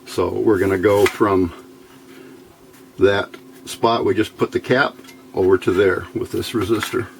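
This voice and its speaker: Male hobbyist instructor, low and fry-heavy, unscripted and informal, thinking aloud over hands-on steps. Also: steady tone, measured pace, long pauses, background noise. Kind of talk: informal hands-on tutorial narration.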